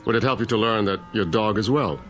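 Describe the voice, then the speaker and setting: Actor as low-voiced, smoothly courteous mayor. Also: male voice, a bit bright, faint music behind, natural voice. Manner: formal, courteous, measured. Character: unflappable, smoothly polite small-town mayor with veiled menace. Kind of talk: audio drama acting.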